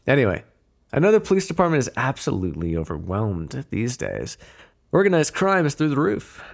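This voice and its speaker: A smooth-talking mob boss played by a man in an ASMR roleplay, teasing and quietly threatening. The voice has a gravelly creak and swings freely up and down in pitch. Phrases are drawn out with flirtatious insinuation. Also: huge pitch swings, fairly quick. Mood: sly, businesslike. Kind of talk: ASMR roleplay voice acting, one-sided dialogue.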